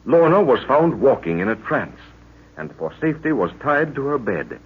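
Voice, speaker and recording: A man's voice: mid-range voice, big pitch swings, normal speed, slightly rough. A man narrating an old radio adventure serial as announcer, with portentous, theatrical phrasing. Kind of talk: dramatic 1940s radio scripted narration. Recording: muffled old radio recording.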